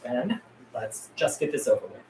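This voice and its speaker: A man's voice: medium pitch, big pitch swings, normal speed, darkish tone, close to an everyday voice. A man reading the part of a guarded, earnest zombie apocalypse survivor at a script table read, terse in manner. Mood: stern. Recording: roomy, distant mic, faint noise.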